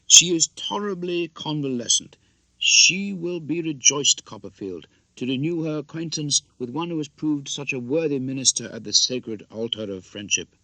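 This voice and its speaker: A grandiloquent middle-aged gentleman, acted by a male narrator in a storybook, jovial, pompous and ceremonious. The voice rises high and bright as the florid phrases roll out. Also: normal speed, no echo, low-quality recording. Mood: grand, effusive. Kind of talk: audiobook character acting.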